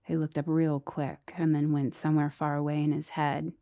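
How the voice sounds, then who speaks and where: An actress playing a bleak, resentful girlfriend who narrates a horror audio drama in a deadpan, brooding way. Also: deep voice, moderate pace.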